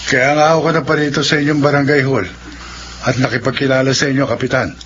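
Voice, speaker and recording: A man's voice: mid-range voice, little pitch movement, quick pace, fairly bright tone, natural voice. An actor with a Filipino accent playing an inquisitive, respectful village man in a radio horror drama. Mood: curious, respectful. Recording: muffled, noisy radio drama audio.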